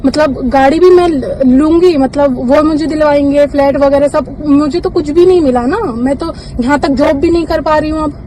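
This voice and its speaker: A woman's voice: high voice, normal speed, mellow. A woman arguing on a phone call, insistent and accusatory, often cutting in on the other person. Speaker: caller, actress. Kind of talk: argumentative phone conversation. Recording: noisy phone call recording, traffic-like hum.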